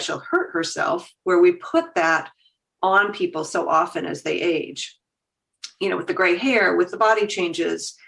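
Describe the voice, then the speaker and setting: Fifties woman chatting on a podcast, kinda low voice, animated, laughs a lot. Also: creaky. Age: 50s. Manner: animated, laughs easily, quotes her own thoughts. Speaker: guest, agency founder. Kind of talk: casual conversation.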